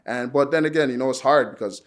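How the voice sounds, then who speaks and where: A young man from Nigeria chats as a podcast guest at an ordinary, middling pitch. He rambles easily and leans on loose fillers as he talks things through. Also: fast. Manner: relaxed, rambling, many "like" and "you know" fillers. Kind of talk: casual conversation.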